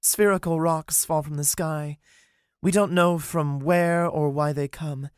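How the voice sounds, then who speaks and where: A man's voice: a little high, fairly even intonation, a little slow, smooth, no echo, clean studio sound, pitched above the performer's own voice, natural voice. A man voicing a shy, earnest young woman mage who speaks hesitantly.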